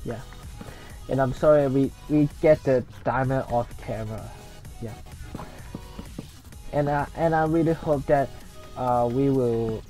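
A tired young man from Hong Kong, a native Cantonese speaker with a Hong Kong English accent, rambling in halting, casual gameplay commentary. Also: medium pitch, dark tone. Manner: rambling, halting, with frequent restarts. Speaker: let's play gamer.

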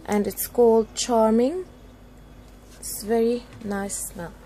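A woman vlogger chatting casually and unscripted, haltingly searching for words as she shows things at home. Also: a little high, fairly even intonation, warm tone. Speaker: vlogger showing a grocery haul. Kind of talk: unscripted casual show-and-tell chat. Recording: noisy handheld home recording with room ambience.

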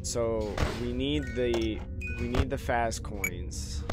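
A man with a slightly hoarse voice gives casual, unhurried commentary on a game, often trailing off into ums. His pitch is ordinary for a man. Loud game music runs under him. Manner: mumbling to himself, many ums. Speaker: game streamer.

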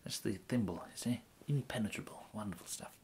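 Guy acting as a polite english tailor, breathy half-whispered asmr voice, hesitant, noisy recording with crinkly handling. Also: fairly low pitch, a little fast, close to an everyday voice. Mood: courteous, pleased. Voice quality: soft, breathy, often slipping into whisper. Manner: hesitant, courteous, murmuring. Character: polite, fussy English gentleman tailor serving a customer. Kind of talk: ASMR roleplay. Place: indoors, plain small room, close to camera.

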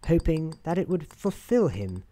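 A man playing a soothing, wry narrator in an office worker's head, soft-spoken, with measured theatrical delivery for an ASMR storytelling roleplay. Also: a little high, sing-song. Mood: calm narrating.

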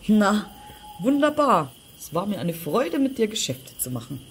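An actress playing a resentful, scheming older sister in a German crime audio drama. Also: female voice, mid-range voice, big pitch swings, unhurried, very noisy. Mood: smug satisfaction. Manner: resentful, contemptuous. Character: bitter, scheming older sister plotting against her sibling.

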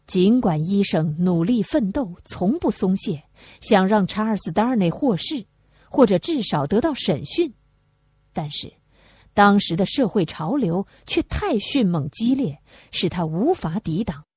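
A woman's voice: medium pitch, sing-song, unhurried, darkish tone, slightly rough, no echo. A woman narrating a literary audiobook, reading from a script.

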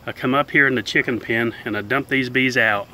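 A man's voice: medium pitch, fairly even intonation, pushed and bright, a little hiss or hum. Folksy man filming his chicken pen. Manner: plain-spoken, unhurried, folksy grammar. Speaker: beekeeper and channel host. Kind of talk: casual narration while filming. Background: faint buzzing of bees outdoors.

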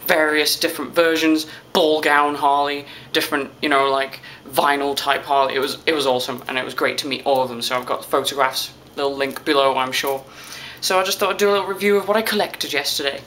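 A woman's voice: deep voice, fairly even intonation, a little fast, fairly bright tone. Young British woman, casual vlog, camera held at arm's length, small room, faint hiss. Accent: British English. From England. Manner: chatty, self-deprecating, tired. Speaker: vlogger. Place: small hotel room, indoors.

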